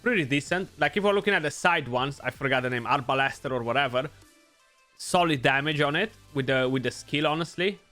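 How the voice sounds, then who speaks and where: A man's voice: on the high side, fairly quick, pushed and bright, faint music behind. A young man with a Romanian accent giving casual gameplay commentary, thinking aloud and often adding "you know". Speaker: gaming YouTuber.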